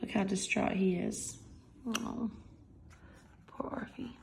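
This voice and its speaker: A woman's voice: mid-range voice, steady tone, slow, creaky, a little mellow, a little hiss or hum, low-quality recording. A woman reading a picture book aloud as a bedtime story, expressively and with affectionate, chatty asides.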